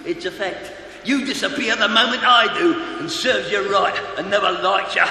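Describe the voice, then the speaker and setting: An actor playing a bitter, sardonic dying army cook in a theatrical television drama, ranting, mocking and self-pitying, swinging from shouts to mutters. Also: male voice, high-pitched, moderate pace. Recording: echoey reverberant hall, noisy old TV recording.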